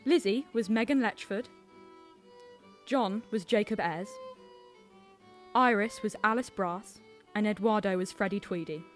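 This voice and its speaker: A woman's voice: medium pitch, measured pace, long pauses. A woman giving a scripted announcement of closing credits. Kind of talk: scripted narration. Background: string music.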